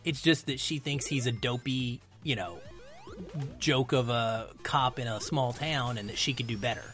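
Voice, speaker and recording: A man's voice: mid-range voice, normal speed, fairly bright tone, soft background music. A man speaking as a podcast guest in casual film discussion, thinking aloud as he goes.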